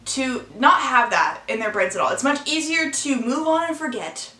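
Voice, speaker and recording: A teenage woman speaks in a bright, clear voice, earnest and emotional, pausing and restarting her sentences. She records a confessional vlog in her own room, and the room gives her voice a noticeable echo. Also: sits high, expressive. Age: late teens. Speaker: vlogger. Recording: echoey room sound.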